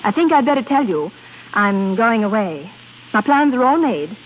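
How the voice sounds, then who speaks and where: High-voiced, emotional actress as proud lovestruck woman. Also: expressive, close to an everyday voice. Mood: cool, guarded. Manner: theatrical, halting with emotion. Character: proud, lovestruck opera singer, flustered, guarded woman. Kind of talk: 1930s radio drama acting. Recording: scratchy, tinny 1930s radio broadcast.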